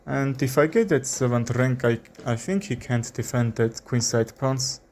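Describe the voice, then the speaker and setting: A young man with an Armenian accent commentating his own chess game, thinking aloud with frequent fillers and pauses. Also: mid-range voice, a little mellow, a little hiss or hum. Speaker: chess streamer. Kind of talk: live commentary while playing chess.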